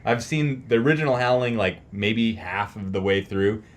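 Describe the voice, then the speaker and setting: A man with a slightly low-pitched voice talks casually, like a podcast host hanging out with a friend. His phrasing is informal and slangy, with the odd mild swear. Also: fairly even intonation, moderate pace. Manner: informal, slangy, mildly profane. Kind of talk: casual music chat.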